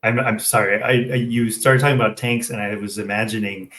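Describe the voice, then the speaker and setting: A man's voice: lowish voice, flat delivery, brisk, a bit bright, roomy sound, slight background noise. A man co-hosting a casual local discussion show, talking reflectively and often checking in with "right?". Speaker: co-host of a local civic affairs show.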